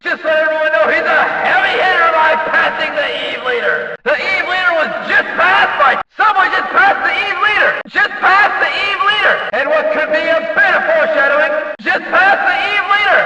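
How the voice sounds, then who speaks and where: A man playing an excitable, brash race announcer in a video game, calling the action with emphatic, hyped-up shouts. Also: very high voice, exaggerated voice. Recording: echoey reverberant announcer sound, distant.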